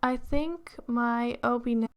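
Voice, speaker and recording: A woman in her twenties with a slightly high voice talks casually to viewers as she draws. She speaks slowly, in short phrases with pauses between them, like someone whose attention is partly on the work. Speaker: art streamer and pastel artist. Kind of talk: casual livestream chat while drawing. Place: indoors at a drawing desk.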